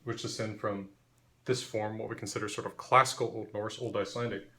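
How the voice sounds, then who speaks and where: Measured professorial man, lowish voice. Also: steady tone, bright, forceful tone. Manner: measured, clear, professorial explaining. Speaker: university language lecturer. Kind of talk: explanatory lecture video.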